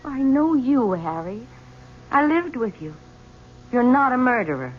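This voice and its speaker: Guilt-ridden estranged wife, soft and hesitant, muffled old broadcast. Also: female voice, on the high side, big pitch swings, a little slow. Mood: guilty, gentle. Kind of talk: 1970s radio drama acting. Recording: dull old radio recording with faint hiss.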